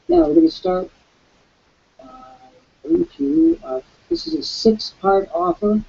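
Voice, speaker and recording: A man hosting a live online webcast, with a croaky voice, giving an emphatic, preacherly sales pitch. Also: lively intonation, slow, warm tone, highs cut off. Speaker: webcast host.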